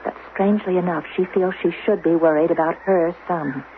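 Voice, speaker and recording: A woman's voice: lowish voice, fairly even intonation, fairly quick, narrow, tinny audio, natural voice. An actress playing a shrewd, probing rebel wife guarding her son, with direct, coaxing delivery in a radio drama. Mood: grimly worried. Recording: noisy, band-limited 1970s radio broadcast.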